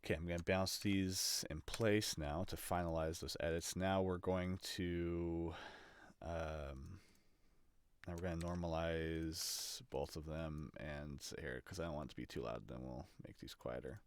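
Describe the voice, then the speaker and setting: A man with a low-pitched voice talks through an audio production demonstration at an unhurried, slow pace. He is casual and unscripted, often hesitating as he works things out aloud. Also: steady tone, a little hiss or hum. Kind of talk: casual unscripted screen-recorded tutorial. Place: indoors at a computer.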